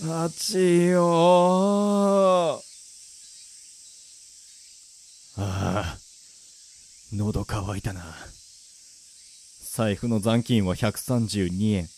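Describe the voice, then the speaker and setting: A man playing a broke, grumbling, short-tempered young man in an amateur radio drama, with rough, casual speech. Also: lowish voice, warm tone, slight background noise. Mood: weary, muttering.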